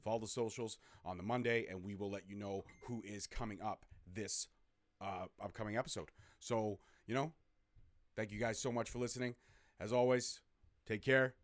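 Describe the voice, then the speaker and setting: Hyped male host, low dry voice. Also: normal speed, no room sound. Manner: hyped, energetic. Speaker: podcast host. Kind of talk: show sign-off.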